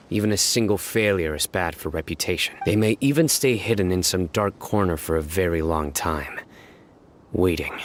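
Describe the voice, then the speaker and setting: An actor playing a stern, guarded male investigator in a video game, speaking in a serious, measured, matter-of-fact way. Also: deep voice, natural voice. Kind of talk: scripted video game dialogue.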